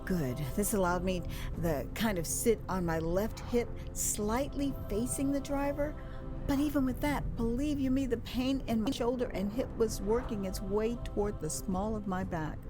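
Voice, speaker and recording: An American woman narrates a first-person story in a theatrical, expressive style. She drops in conversational asides as if confiding in the listener. Music plays clearly behind her voice. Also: on the high side, moderate pace. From United States. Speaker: author and narrator of her own memoir.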